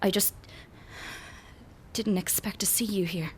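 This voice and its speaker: An actress with an Irish accent playing an anxious, fragile young woman in a radio drama, halting and unsure as she speaks. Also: mid-range voice, fairly even intonation, a little hiss or hum, close to an everyday voice. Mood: fragile, nervous. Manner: hesitant, halting. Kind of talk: naturalistic radio drama acting.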